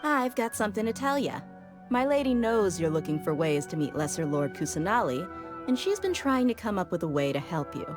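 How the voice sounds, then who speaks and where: A medium-pitched, plain-spoken female bodyguard in a video game played by a woman. She is casual and a little awkward, with lively inflection. Music is clearly heard behind her. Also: brisk, lightly acted. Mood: earnest, guarded. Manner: casual, slightly awkward, guarded. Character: plain-spoken young female bodyguard, gruff but loyal. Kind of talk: English video game voice acting.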